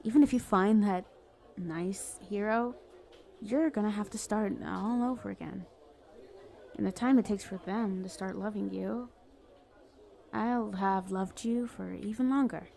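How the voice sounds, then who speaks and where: A woman playing a smug, lovestruck female villain in an ASMR roleplay, coaxing and teasing the listener in a creaky, conversational voice. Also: mid-range voice, a little slow, pauses often, warm tone. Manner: teasing, cajoling, conversational with hesitant stammers. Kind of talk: ASMR roleplay, addressing the listener as love interest.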